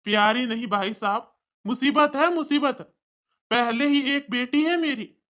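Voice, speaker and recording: A woman's voice: high voice, a little slow, a bit bright, voice pushed down. A woman voicing a callous, blunt father in a cartoon story. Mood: bitter, dismissive. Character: callous father who rejects his newborn daughter.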